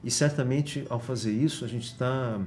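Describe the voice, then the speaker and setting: A man with a Brazilian Portuguese accent, a university guest in an interview, explaining in an earnest, measured way with frequent hesitations. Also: mid-range voice, fairly even intonation, a little mellow, a little hiss or hum.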